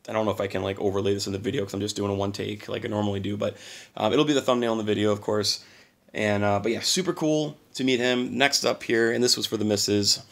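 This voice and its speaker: A man talks through his finds in a casual vlog, his voice hoarse and gravelly. He sits a little low in pitch and speaks with eager enthusiasm, yet he keeps it fairly quiet. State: hoarse from singing and little sleep. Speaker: channel host.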